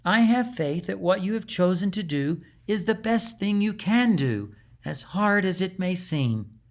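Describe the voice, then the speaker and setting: A man voicing a gentle woman in a storybook, soothing and reassuring. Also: high-pitched, lively intonation, measured pace, voice pushed up. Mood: comforting. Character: gentle woman comforting a princess.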